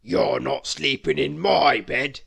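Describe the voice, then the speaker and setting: A storybook reader voicing a big, gruff papa bear who booms out demands. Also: expressive, slow pace, exaggerated voice. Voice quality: gruff, loud. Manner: demanding, booming.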